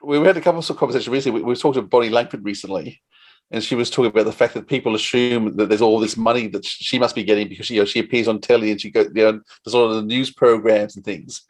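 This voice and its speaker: A man's voice: lowish voice, steady tone, very fast. A man hosting a conversational interview, chatty and rambling, with plenty of enthusiasm. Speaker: podcast interviewer.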